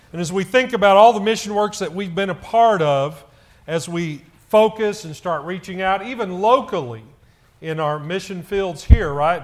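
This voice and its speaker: A middle-aged man with a fairly high, loud voice gives a church announcement to the congregation. He talks in a halting way, often filling gaps with "uh" and restarting his sentences. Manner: loud voice, halting with many fillers. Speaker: church elder.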